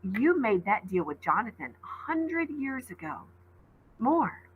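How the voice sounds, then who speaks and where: A woman's voice: a little high, big pitch swings, measured pace, phone-quality sound, slight background noise. A woman voicing a stubborn, frightened sixth-grade girl in a storybook, dogged and snappish. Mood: dogged, scared.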